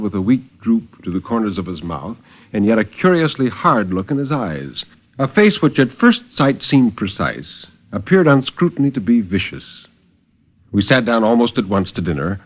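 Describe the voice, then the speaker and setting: A British male narrator with a low, animated voice tells a first-person horror story. The delivery is dramatic 1950s radio, and the old recording sounds dull and muffled.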